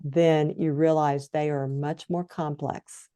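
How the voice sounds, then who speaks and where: A woman's voice: sits low, little pitch movement, slow, dark tone, smooth, dry recording. A woman co-hosting a podcast, talking and asking questions in a conversational interview style. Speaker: co-host, interviewer.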